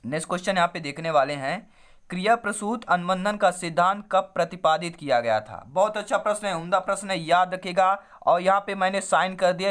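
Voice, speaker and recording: A male coaching teacher with a high voice, hoarse from a cold. He reads out exam questions and their options, then repeats each answer, addressing his students familiarly. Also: a bit bright. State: sore, hoarse throat from a cold. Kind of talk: quiz-style online lesson, reading questions and options, then explaining answers.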